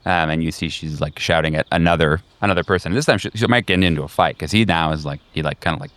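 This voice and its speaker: A deep, smooth-voiced man runs a tabletop game. He narrates scenes off the cuff, conversationally and with many halting pauses. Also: fairly bright tone, no echo. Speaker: game master.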